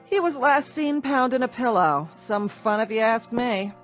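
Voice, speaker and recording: An actress playing a brassy, bored American wife in a radio drama, sarcastic, flippant and dismissive. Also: female voice, on the high side, lively intonation, a little slow, soft background music. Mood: mocking, flippant.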